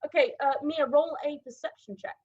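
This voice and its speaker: A woman's voice: mid-range voice, lively intonation. Dull-sounding recording of a woman game master. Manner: informal, halting improvised descriptions. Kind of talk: narrating a tabletop game, casual. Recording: dull lo-fi audio.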